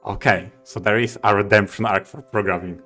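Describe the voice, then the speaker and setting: Male podcast interviewer, somewhat low pitch, conversational, halting delivery. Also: steady tone, pushed and bright, faint music behind. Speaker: podcast co-host. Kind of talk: casual interview chat.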